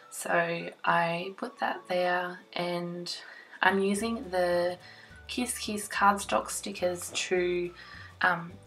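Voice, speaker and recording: A young woman, croaky after laryngitis, chatting casually with lots of ums as she narrates her scrapbooking. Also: on the low side, a bit bright, rough recording. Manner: chatty, self-conscious, with frequent ums. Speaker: scrapbooking vlogger. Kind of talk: casual crafting voiceover. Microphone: voice-over recorded separately from the filmed table.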